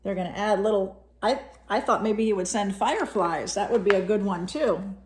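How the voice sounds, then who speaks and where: A woman reading a picture book aloud as a storyteller, animated and pausing to explain things and put questions to young listeners. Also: mid-range voice, slight background noise. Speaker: storyteller, teacher reading to children.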